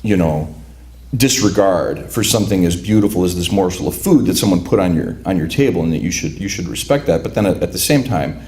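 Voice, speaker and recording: A man's voice: low-pitched, lively intonation, darkish tone. Reflective man reading his prose. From United States, raised among Lithuanian migrants. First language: Lithuanian. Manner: reflective, halting with repeated restarts. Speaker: author.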